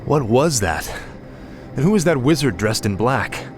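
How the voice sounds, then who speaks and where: An actor playing a confident young prince and military commander in a fantasy video game, self-assured and commanding. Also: male voice, medium pitch, big pitch swings, unhurried, soft background music. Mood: determined.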